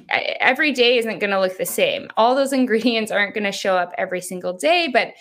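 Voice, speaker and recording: A woman in her thirties, a hypnotherapist, talking as a podcast guest, chatty and upbeat, with a soft, soothing voice and frequent laughs. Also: mid-range voice, animated, fairly quick. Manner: talkative, upbeat, laughs often. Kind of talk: casual interview chat.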